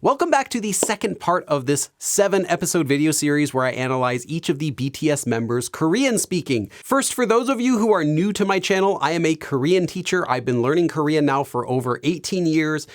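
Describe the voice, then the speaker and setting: Lively male language teacher explaining. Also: fairly high pitch, fairly quick, hardly any pauses. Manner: clear explanatory delivery, laughs often. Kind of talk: educational video commentary.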